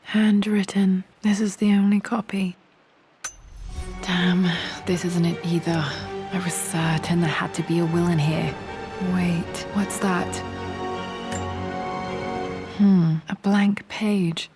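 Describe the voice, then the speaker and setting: A woman playing a determined, reflective young British woman in a video game, thinking aloud softly in a breathy voice, often near a whisper. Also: on the low side, level tone, takes it slowly, pauses often, soft background music. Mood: troubled, searching. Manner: musing, understated. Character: determined, reflective young woman searching her late father's study.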